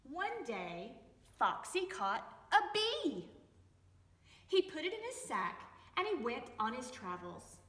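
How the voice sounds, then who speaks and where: A woman reads a children's story aloud in a high, very animated voice. She takes her time, stretching words for suspense in a theatrical way. The room adds a noticeable echo. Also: slow. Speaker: library storytime host and storyteller. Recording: echoey reverberant room.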